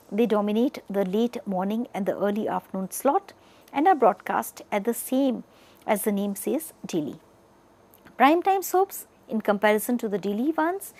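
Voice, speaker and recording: A woman's voice: medium pitch, dark tone. A woman with an Indian accent giving a prepared educational lecture, partly reading it aloud.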